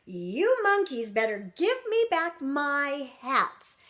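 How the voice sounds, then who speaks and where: Woman as an exasperated storybook hat maker, high-voiced. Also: sing-song, slow pace, fairly bright tone, full character voice. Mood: indignant. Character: exasperated male hat maker, simple and indignant.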